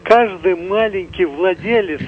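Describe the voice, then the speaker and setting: A man's voice: high voice, unhurried. A man calling into a radio show, insistent and fumbling his words when interrupted. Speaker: caller. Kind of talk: phone-in call. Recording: dull lo-fi phone call, faint hiss.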